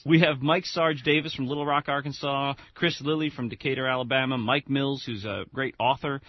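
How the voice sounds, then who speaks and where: A man's voice: mid-range voice, fairly even intonation, fairly quick, dull audio. A man co-hosting a radio show, chatting casually and enthusiastically, rambling with many restarts.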